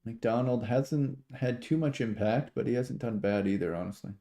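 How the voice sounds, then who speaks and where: A man's voice: medium pitch, normal speed, mellow. An American man doing informal, rambling livestream football commentary that mixes play-by-play with casual, sweary chat. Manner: rambling, informal, sweary, talks sports betting slang.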